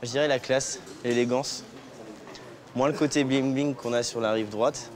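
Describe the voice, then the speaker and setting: A man's voice: mid-range voice, normal speed. Young man chatting on a busy street. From France. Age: 20s. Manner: relaxed, candid, chatty. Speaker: new pastry employee. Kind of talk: casual interview. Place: outdoors on a city street. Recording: outdoor street noise.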